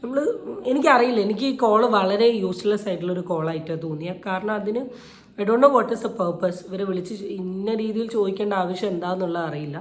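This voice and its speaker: A woman's voice: mid-range voice, a little fast. Woman, casual reaction commentary, opinionated, explanatory, English phrases mixed in, roomy echo. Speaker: video commentator. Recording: echoey, roomy sound.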